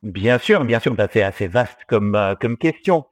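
A man with an Australian accent and a low voice tells his story in a casual interview. He hesitates often, filling gaps and restarting phrases. The sound is dry and close, with no room around him. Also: expressive, normal speed, no room sound. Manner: hesitant, many fillers and restarts. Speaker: guest, distiller.

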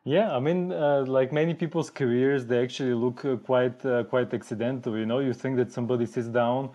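A man's voice: mid-range voice, steady tone, fairly quick, mellow, muffled recording. A man from Bulgaria who speaks natively, a podcast guest talking casually with many fillers. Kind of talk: casual interview.

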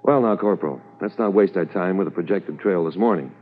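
Through a tinny vintage radio recording comes a senior police officer. The voice is on the low side, formal and judicious, with the authority of a superior addressing a subordinate. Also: male voice, brisk. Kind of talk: 1950s radio drama acting.

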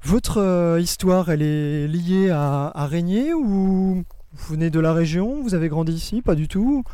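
Male interviewer, mellow tone, slightly high pitch, dry sound, short curious questions. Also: a little slow, clean tone, no room sound. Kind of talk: casual interview.

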